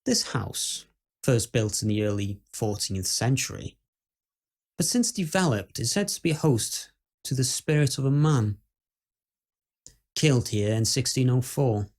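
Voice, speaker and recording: A man playing a skeptical, uneasy Victorian gentleman who tells ghost stories in a formal, measured, old-fashioned way. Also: mid-range voice, expressive. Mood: grave, musing. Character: dread-filled, skeptical Victorian gentleman recounting house ghosts. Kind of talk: first-person dramatic ghost-story monologue.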